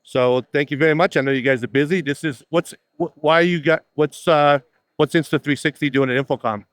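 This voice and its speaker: A man with a loud voice, chatting casually as a video creator interviewing at a trade show. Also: on the high side, fairly even intonation, dry recording. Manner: loud, chatty, asks quick questions. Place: busy trade show floor.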